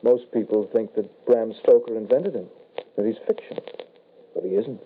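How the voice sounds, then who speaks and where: An actor playing an undead shapeshifting son, calmly menacing and apologetic, in old-time radio horror style. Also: male voice, on the low side, normal speed, slight background noise, lightly acted. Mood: matter-of-fact. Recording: tinny, band-limited vintage radio broadcast.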